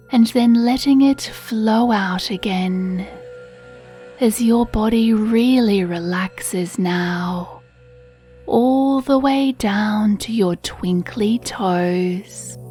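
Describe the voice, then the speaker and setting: A woman reads a children's bedtime meditation at an extremely slow, unhurried pace. Her voice is soft, mellow and hushed, with a soothing, breathy gentleness. Quiet music plays behind her. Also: medium pitch. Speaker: meditation narrator. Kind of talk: children's sleep meditation storytelling, scripted narration.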